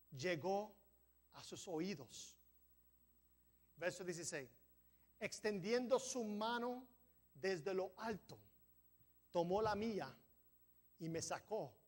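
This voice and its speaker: A man preaching a sermon as pastor of a church, a non-native speaker who sometimes stumbles over words and builds to emphatic peaks. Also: high-pitched. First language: not Spanish. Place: church sanctuary.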